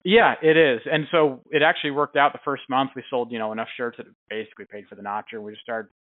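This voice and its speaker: A man's voice: mid-range voice, fairly even intonation, fast talker. A man in a casual business phone chat, speaking as a company representative in a friendly, informal, explanatory way with frequent chuckles. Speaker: company representative calling a prize winner. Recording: band-limited phone-like sound, roomy echo.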